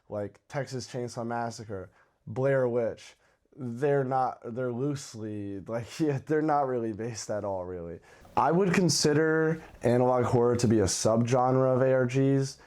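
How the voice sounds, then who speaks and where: A man's voice: mid-range voice, darkish tone. A man speaking as the host of a horror video channel, giving casual commentary in a conversational, informal way. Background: background music with guitar.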